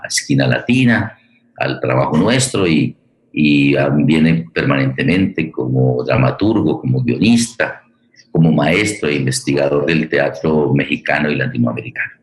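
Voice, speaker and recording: A man with a Colombian accent hosting an online forum, speaking unscripted and searching for his words. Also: very deep voice. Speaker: host of an online radio theatre forum. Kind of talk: unscripted spoken introduction to a live programme. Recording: roomy, echoing sound.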